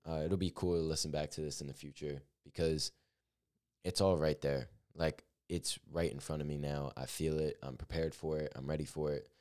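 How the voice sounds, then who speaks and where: A man's voice: very deep voice, fairly even intonation, moderate pace, darkish tone, clear, resonant tone. An eighteen-year-old man hosting his own podcast, talking through his plans in an earnest, upbeat, rambling way with many fillers. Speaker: podcast host. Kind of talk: solo podcast monologue.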